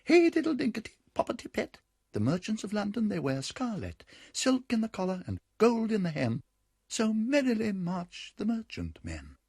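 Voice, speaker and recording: A man doing tiny squeaking singing mice. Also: quick pace, gravelly, no echo, clean studio sound. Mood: gleeful singing. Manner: squeaky singsong nursery-rhyme chant.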